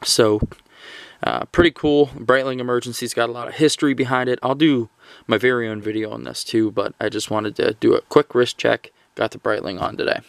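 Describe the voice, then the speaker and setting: A man with a creaky, gravelly voice talks through a casual gear review. He speaks off the cuff and haltingly, often pausing mid-phrase. The sound is dry and close, with little room around it. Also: mid-range voice, fairly even intonation, no room sound. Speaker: gear and radio reviewer hosting a video. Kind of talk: casual product review talk, unscripted.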